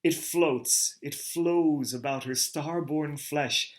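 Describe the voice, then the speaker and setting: A middle-aged man giving a theatrical reading of a novel, moving from hushed murmurs to booming calls. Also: slow. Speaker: reader of a novel. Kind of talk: dramatic literary reading.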